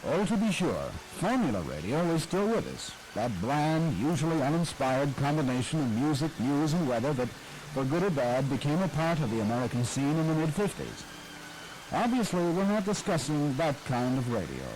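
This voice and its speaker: Male host, nostalgic scripted storyteller; bright upper register, very animated. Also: a little high, moderate pace, a little hiss or hum, rough recording. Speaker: radio history host. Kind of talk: scripted radio narration.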